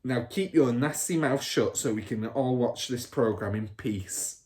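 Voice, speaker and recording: A man's voice: mid-range voice, a little slow, darkish tone, close to an everyday voice. A man voicing a snappish, scolding mother in a storybook, bossy and brassy.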